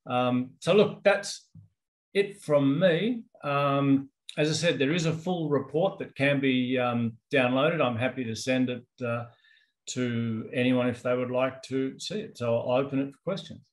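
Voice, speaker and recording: A man's voice: mid-range voice, a little slow, poor audio. A man in his fifties with an Australian accent, presenting research in a webinar over a video call, in a loose conversational way with many ums and restarts. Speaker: company executive presenting research. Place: indoors, office with branded backdrop.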